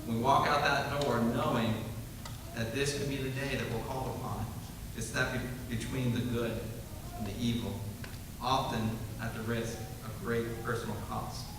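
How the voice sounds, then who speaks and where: A man who is a police officer, giving a solemn, measured speech at a memorial ceremony. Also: medium pitch, level tone, background noise. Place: large hall at a ceremony. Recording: echoey hall from far off.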